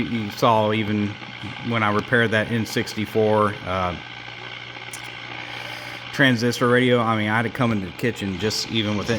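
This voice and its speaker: Low-voiced man rambling over background noise. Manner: rambling, halting explanation. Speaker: host of a radio repair channel. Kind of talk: casual demonstration talk. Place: indoors at home.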